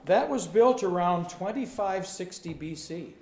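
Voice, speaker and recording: A pastor in his sixties teaches in short, friendly phrases and waits for each one to be translated. His voice is a bit higher than most men's. He is recorded in a roomy, echoing hall with faint background ambience. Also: animated, darkish tone, a little hiss or hum. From United States. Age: 60s. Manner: friendly, plain-spoken, pausing for translation.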